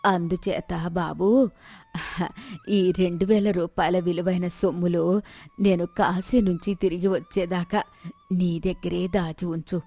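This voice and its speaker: A woman's voice: fairly low pitch, fairly even intonation, a little slow, warm tone. A woman voicing a shrewd old village woman in a moral story, coaxing and plaintive, over music. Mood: pleading, plaintive. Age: older. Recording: background music bed.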